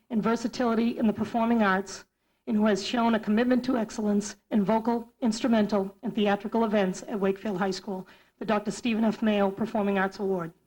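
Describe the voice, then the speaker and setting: A woman's voice: fairly high pitch, steady tone, a little fast, darkish tone, low-quality recording. A woman music teacher giving a formal ceremony speech in a school auditorium, reading prepared remarks.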